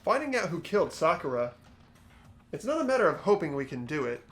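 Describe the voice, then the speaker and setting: A man's voice: on the high side, big pitch swings, a little fast, faint music behind. A man voicing an earnest, anxious, determined young man in a fan-voiced visual novel game. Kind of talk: amateur voice acting and narration of a visual novel game.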